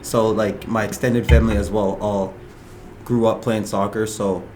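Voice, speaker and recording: A man's voice: lowish voice, level tone, normal speed, darkish tone, a little hiss or hum. A man, casually telling his story as an interview guest. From Ottawa, Canada. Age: 20s. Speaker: podcast guest, soccer player.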